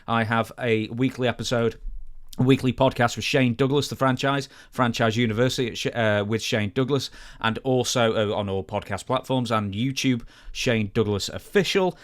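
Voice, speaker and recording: A man's voice: medium pitch, normal speed. A man co-hosting a podcast, chatting casually and reading out plugs for the show. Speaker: podcast co-host.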